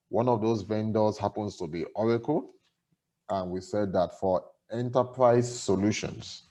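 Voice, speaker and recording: A man, a bank executive speaking in a panel conversation, explaining in a measured way with fillers and restarts. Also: fairly low pitch, a little mellow.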